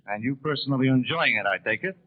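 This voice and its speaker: A man's voice: medium pitch, lively intonation, fast. An American man conducting live 1930s radio news interviews with formal, courteous questions. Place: convention hall broadcast room. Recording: tinny narrowband 1930s radio broadcast.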